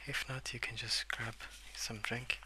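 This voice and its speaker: A young adult man softly rambling in a breathy half-whisper for ASMR, relaxed and unhurried, close to the microphone. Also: medium pitch, a little hiss or hum, poor audio. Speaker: ASMR video creator. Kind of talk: soft-spoken ASMR rambling while colouring.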